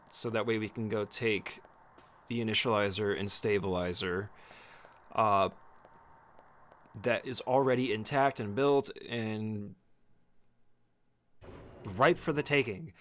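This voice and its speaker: A man's voice: lowish voice, fairly bright tone. Casual man giving a game tutorial. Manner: informal, conversational, explanatory.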